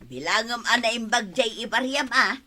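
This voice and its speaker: A woman's voice: fairly high pitch, lively intonation, normal speed, pushed and bright. A woman playing a cunning, brash village mother in an Ilocano radio comedy drama, exclamatory, with a Filipino Ilocano accent. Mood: indignant.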